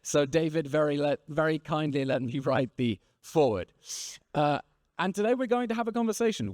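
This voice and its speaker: A man in his thirties addresses a conference audience from the stage. He speaks as a moderator in rousing, repetitive rhetorical phrases. His voice sits in a middle pitch and the sound is dry and close. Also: a little mellow, dry recording. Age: 30s. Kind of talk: stage speech and panel moderation.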